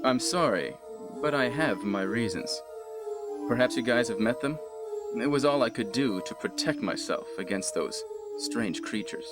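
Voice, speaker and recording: A man playing a composed, calculating team captain in a 1990s video game, with stilted, deliberate delivery and an outwardly concerned manner. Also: mid-range voice, expressive, lightly acted. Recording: game audio under loud music.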